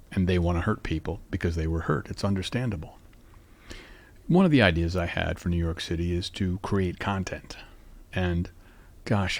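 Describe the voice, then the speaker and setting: Deep husky guy in his 50s, sleepy even voice, just musing out loud solo. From North Carolina. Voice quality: low, sleepy voice. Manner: calm, musing, even delivery. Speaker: podcast host. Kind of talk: rambling solo monologue.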